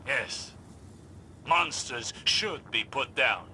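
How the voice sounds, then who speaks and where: Grave, sharp-voiced lore-keeper. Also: male voice, medium pitch, lively intonation, slight background noise, full character voice. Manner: slow, grave, deliberate. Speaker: game character. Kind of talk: video game dialogue.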